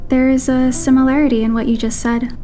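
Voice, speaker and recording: Casting: actress as earnest young game heroine, high-pitched and very soft-toned, sincere, light music underneath. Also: female voice, level tone, brisk, close to an everyday voice. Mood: warmly interested.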